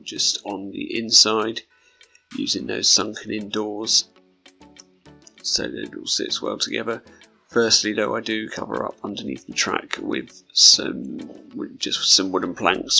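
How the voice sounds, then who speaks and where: A man with a hoarse, croaky voice, giving laid-back, rambling commentary over his own gameplay. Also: fairly low pitch, sharp tone. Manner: rambling, laid-back, with frequent ums and small laughs.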